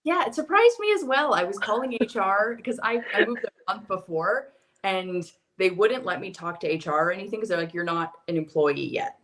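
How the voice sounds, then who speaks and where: A young American woman, a guest on a podcast, telling stories about moving abroad in a chatty, animated way. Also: medium pitch, fairly quick. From United States. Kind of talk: casual podcast conversation.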